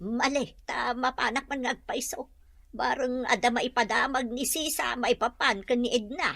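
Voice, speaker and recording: A woman's voice: on the high side, moderate pace, vocal fry, a bit bright, dry recording. An actress playing a protective, indignant older village mother in an Ilocano audio drama, with an Ilocano accent. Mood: concerned. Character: protective, indignant village mother defending her grown child.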